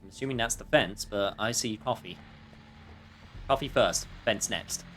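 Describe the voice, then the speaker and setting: A man's voice: fairly low pitch, moderate pace, a bit bright, soft background music. Man doing dry, casual game commentary. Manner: dry, joking asides. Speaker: game streamer.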